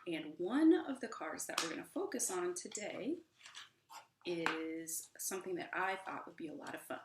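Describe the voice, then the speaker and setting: A woman's voice: on the low side, lively intonation, normal speed, heavy background noise. A middle-aged American woman, a children's author, explaining casually to camera at home. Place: small room indoors at home. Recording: roomy indoor echo.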